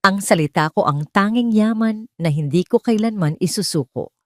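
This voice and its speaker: A woman with a Filipino accent playing a calm, resolute male chief executive, steady and controlled, in an audio drama. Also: medium pitch, moderate pace, no echo, studio quality, close to an everyday voice. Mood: calm, firm.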